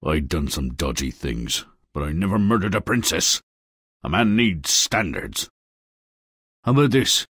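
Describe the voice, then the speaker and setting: A man playing a roguish, lecherous huntsman in a video game, gravelly and husky, with a slow, sly, menacing manner. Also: low voice, big pitch swings, fairly bright tone, no echo, clean studio sound, full character voice. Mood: sly, bargaining. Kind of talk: video game character acting.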